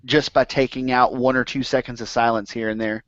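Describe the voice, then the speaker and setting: A man's voice: mid-range voice, little pitch movement, fairly quick, a bit bright, no room sound. A man hosting a podcast in casual chat, easygoing and hesitant. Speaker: podcast host. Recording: dry, dull lo-fi recording.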